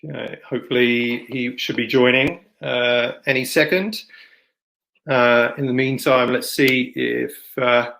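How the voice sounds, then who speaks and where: A man hosting an online event in a casual, unscripted way, with halting, informal delivery. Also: medium pitch, steady tone, vocal fry, sharp tone. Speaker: event host and moderator. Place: online video session. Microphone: computer microphone, plugging in another mic partway.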